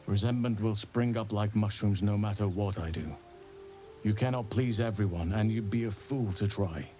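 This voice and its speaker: A man playing a wise, solemn archdruid in a video game, speaking in a measured, formal and regretful way. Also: on the low side, warm tone, soft background music, lightly acted. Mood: resolute. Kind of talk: video game dramatic dialogue.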